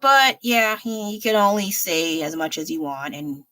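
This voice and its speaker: Bright-voiced, chatty young American woman. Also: medium pitch, expressive, moderate pace. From United States. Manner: chatty and frank, laughs often, thinks aloud. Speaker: livestreaming artist. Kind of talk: rambling livestream chat while painting. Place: home at night.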